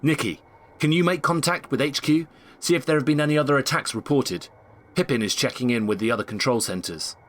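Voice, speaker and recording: A man's voice: medium pitch, a little fast, a bit bright, slight background noise, lightly acted. A man playing an enthusiastic, chatty space agent in a comic sci-fi audio drama. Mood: brisk, businesslike. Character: eager, chummy male space agent, family man.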